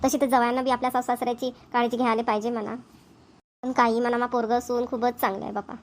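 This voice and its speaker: A woman's voice: a little high, level tone, fast, close to an everyday voice. A woman voicing a doting, chatty village mother-in-law and grandmother in a cartoon comedy, with a rural Maharashtrian Varhadi accent. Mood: delighted, chatty. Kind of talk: Marathi cartoon comedy voice acting.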